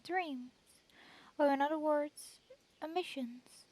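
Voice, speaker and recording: A woman's voice: high-pitched, slow pace, darkish tone. A woman game streamer commenting casually and playfully while she plays. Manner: playful, joking, sing-song.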